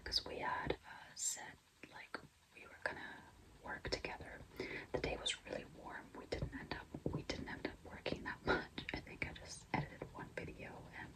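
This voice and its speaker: A young woman whispering in a breathy, mostly unvoiced voice, chatting confidingly and casually to viewers as a vlogger telling a personal story. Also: rough recording. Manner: confiding, conversational, frequent "like" and "you know".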